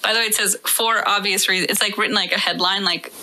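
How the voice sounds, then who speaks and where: A woman's voice: medium pitch, fairly even intonation, fast, sharp tone, poor audio. A woman co-hosting a comedy podcast, mixing dramatic reading with casual banter.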